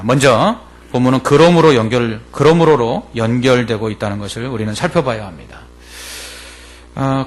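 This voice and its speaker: A male pastor delivers an expository sermon in a deliberate, explanatory way, stressing key words and posing rhetorical questions. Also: mid-range voice.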